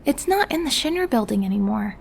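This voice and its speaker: An actress playing a thoughtful, gentle young woman in a video game, earnest and inquisitive. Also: fairly high pitch, very animated, fairly quick, vocal fry, slightly rough, full character voice. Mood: puzzled concern. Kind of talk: dramatic video game acting.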